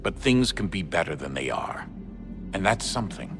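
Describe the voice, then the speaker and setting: Game role: weary, stern dad, very deep, measured, music behind. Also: male voice, very animated. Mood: earnest. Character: stern, world-weary father, veteran of a secret war. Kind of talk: video game cutscene acting.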